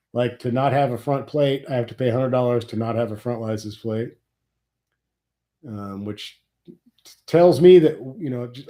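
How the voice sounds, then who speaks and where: Man, middle-aged, from nebraska, sniffly with a cold, rambling, wry, mellow voice. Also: mid-range voice. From Nebraska, USA. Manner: rambling, wry complaining about taxes. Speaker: livestream host. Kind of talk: casual live chat.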